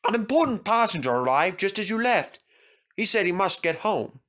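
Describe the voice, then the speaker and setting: A man's voice: high voice, big pitch swings, moderate pace, fairly bright tone, pitched above the performer's own voice. A man voicing a polite, cheerful steam engine in a children's story, courteous and earnest. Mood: apologetic.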